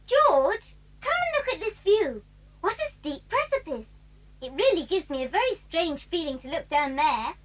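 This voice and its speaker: Timid young schoolgirl, high, expressive, earnest, children's adventure drama. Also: normal speed, low-quality recording. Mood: wondering. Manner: earnest, wondering. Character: timid, polite little schoolgirl. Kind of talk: 1980s children's audio drama acting.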